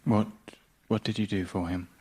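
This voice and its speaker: An actor playing a calm, patient helpline volunteer with measured, probing questions and sympathetic murmurs in radio comedy drama. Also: male voice, lowish voice, husky. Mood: calm concern.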